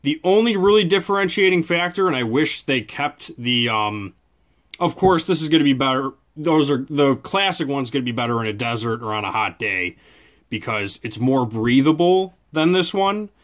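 A man's voice: mid-range voice, animated, normal speed, a bit bright. A man giving a casual, off-the-cuff product review at a desk, often correcting himself as he goes. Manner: chatty, off-the-cuff, with self-corrections. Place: indoors at a desk.

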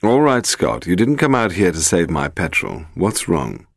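Audiobook role: suave male sleuth voiced by a male reader, crisp and inquisitive, dry studio sound. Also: deep voice, very animated, a little slow, dry recording, full character voice. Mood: brisk, curious. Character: suave, inquisitive gentleman detective. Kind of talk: audiobook character voice.

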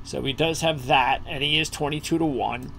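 Gravelly host talking through a list. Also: medium pitch, fairly even intonation, moderate pace, a bit bright, slight background noise. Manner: thinks aloud, with frequent fillers while scanning a list. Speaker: host of a racing betting preview. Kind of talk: casual chat over a video call. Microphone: video call.

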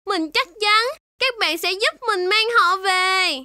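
A woman's voice: extremely high pitch, slow, bright, forceful tone, dry recording, clean studio sound, heavily acted. A woman voicing an eager little baby shark in a children's cartoon, exclamatory and sing-song, talking straight to young viewers in style. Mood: determined, cheerful.

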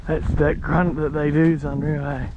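A young Australian man vlogging a hunting trip in hushed, offhand asides. His voice sits a little high for a man. Clear outdoor noise runs under the head-mounted camera mic. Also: flat delivery, moderate pace, phone-quality sound. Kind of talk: casual hunting vlog commentary. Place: outdoors in dry bush and on a beach. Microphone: head-mounted action camera.

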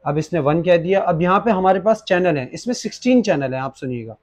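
A young man with a Pakistani accent presents products for a gadget shop. His voice sits a little higher than average for a man. He explains each feature as he goes, dropping English words into his speech. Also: lively intonation, a little fast. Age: young adult. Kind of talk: unboxing and product demonstration. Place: small indoor gadget shop studio at a desk.

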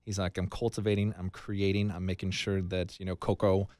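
Expressive American male radio host, lowish voice. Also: fairly quick, no room sound. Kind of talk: conversational radio interview. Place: small radio studio. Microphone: broadcast boom microphone, headphones.

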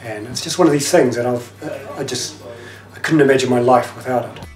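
New Zealand male, casual and rapid, echoey room, music faintly behind. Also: medium pitch, a little mellow. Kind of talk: casual reminiscence. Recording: roomy, distant, music underneath.

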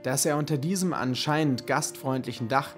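A man narrating a radio play as a scripted, literary story in a measured, dramatic manner. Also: mid-range voice. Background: music.

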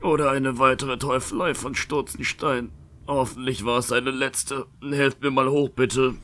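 Man acting a weary, flat-toned battered knight. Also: medium pitch, a little slow, natural voice. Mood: weary, strained. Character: battered, weary male knight, shaken but courteous. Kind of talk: fantasy audio drama acting.

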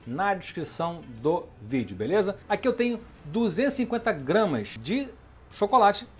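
A middle-aged man hosting a home cooking show, chatting casually as he demonstrates a recipe in his kitchen and checking in with the viewer. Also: high voice. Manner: chatty, keeps asking "beleza?" and "tá?".

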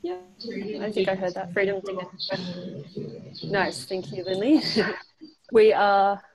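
Young woman on a video call, normal pitch, coaching people thru a practice read, really encouraging. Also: steady tone, a little slow, background noise. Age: young adult. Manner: encouraging, affirming. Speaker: facilitator leading a group practice exercise. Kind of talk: coaching a group on a video call, reading a prepared pitch aloud. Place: small indoor room, on a group video call. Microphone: computer microphone on a video call.

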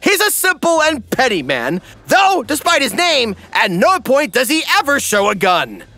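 A man narrating a scripted comedy parody in an animated, tongue-in-cheek manner. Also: extremely high pitch, moderate pace, faint music behind.

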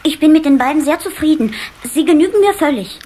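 A woman's voice: sits high, lively intonation, fairly quick, warm tone, a little hiss or hum. A woman playing an earnest, anxious little water-sprite boy in a children's radio play. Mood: puzzled, wary.

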